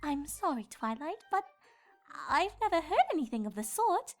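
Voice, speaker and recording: A woman's voice: sits high, sing-song, normal speed, darkish tone, faint music behind. A woman voicing a dramatic, genteel, doting female cartoon unicorn fashion designer with a refined, theatrical manner. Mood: polite. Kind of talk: cartoon fan-fiction voice acting.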